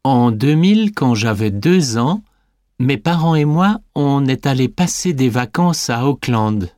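An actor playing a young sporty French man answering scripted interview questions with clear enunciation. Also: medium pitch, expressive, unhurried, a little mellow, no echo, studio quality, lightly acted. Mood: friendly, measured. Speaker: interviewee in a language listening exam.

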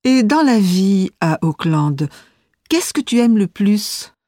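A woman's voice: mid-range voice, sing-song, slow, dark tone, dry recording, clean studio sound. A woman reading a scripted listening exam as interviewer and narrator, enunciating carefully.

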